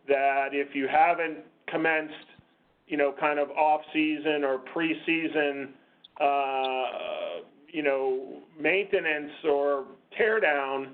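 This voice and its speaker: A man moderating an unscripted meeting discussion on a conference call, speaking in a halting, hesitant way. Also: fairly high pitch. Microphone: conference call line. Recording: muffled, phone-like conference call.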